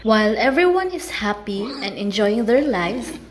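A woman's voice: on the high side, expressive, moderate pace. A woman narrating a scripted puppet show as a storyteller.

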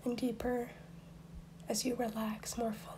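A woman guiding a meditation in a soft, breathy, near-whispered voice, soothing and unhurried, with long pauses between instructions. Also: fairly high pitch, level tone, background noise. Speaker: meditation guide. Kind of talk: guided meditation.

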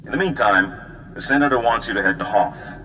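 An actor playing a wry, official male Republic agent in a video game, brisk and knowing. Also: on the low side, bright, forceful tone, big-room sound, slight background noise, rough recording. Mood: amused, brisk. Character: suave, wry Republic agent man on hologram. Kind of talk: video game dialogue acting.

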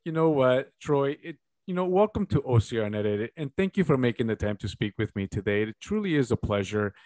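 A man's voice: medium pitch, very animated, fairly quick, darkish tone, dry recording. A man in his forties, raised in Ecuador, hosting a casual video-call interview and calling his guest "buddy". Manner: friendly, calls guest buddy. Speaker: podcast host. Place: small home office. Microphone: studio mic on video call.

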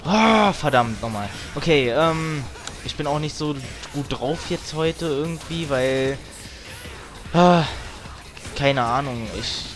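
A man's voice: fairly high pitch, lively intonation, slow pace. A man giving casual, grumbling let's play commentary as he games, with some tongue clicking. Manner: grumbling, mild swearing. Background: video game music.

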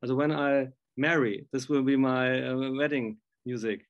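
A man's voice: mid-range voice, steady tone, moderate pace, dull audio. A middle-aged man, chatting effusively as an interviewer on a video call from a room at home. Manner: effusive, enthusiastic. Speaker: interviewer, film music fan. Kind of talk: casual interview chat.

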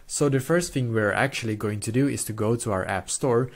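A man's voice: mid-range voice, brisk. A man in his twenties hosting a step-by-step tech tutorial in a repetitive, explanatory way, speaking close to a desktop microphone indoors. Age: 20s. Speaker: tutorial host. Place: small plain room indoors. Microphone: desktop microphone close in front.

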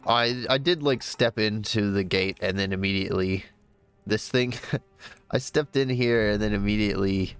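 A man with a congested, stuffed-up voice and a fairly low, gravelly tone chats easygoingly as he plays a game. He thinks aloud with relaxed, upbeat exclamations, and faint game music runs behind him. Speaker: gamer playing a new game. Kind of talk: casual let's-play commentary.

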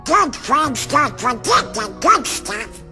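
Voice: high-pitched, sing-song, slow pace, sharp tone, faint music behind, exaggerated voice. A voice actor playing a hot-tempered talking duck sidekick in a game cutscene, with a squawky, garbled quack. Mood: grumbling. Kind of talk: animated game cutscene acting.

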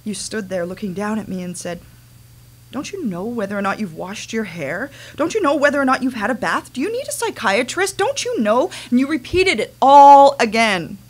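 A woman playing a resentful adult daughter with a halting, earnest delivery in a staged dramatic reading. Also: a little high, sing-song, husky. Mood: hurt recounting. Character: wounded, resentful adult daughter confronting her mother. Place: small dimly lit stage venue. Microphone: microphone on a stand.

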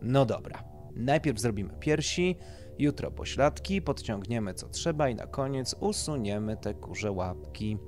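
A man's voice: mid-range voice, expressive, measured pace, darkish tone, lightly acted. A man voicing a bossy, businesslike surgeon in a horror audiobook, coolly appraising bodies and giving instructions, with music behind. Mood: pleased, businesslike.

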